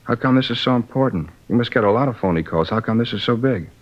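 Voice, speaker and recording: A man's voice: mid-range voice, lively intonation, fast, close to an everyday voice. An actor playing a weary, defensive married man held as a suspect in a 1950s radio drama, grumbling in a sullen, colloquial way. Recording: dull vintage radio recording.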